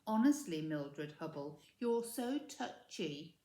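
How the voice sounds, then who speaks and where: A woman's voice: low-pitched, very animated, slow, warm tone, exaggerated voice. A woman voicing a smug schoolgirl witch bully in a sneering, mock-innocent storybook manner, in a roomy recording.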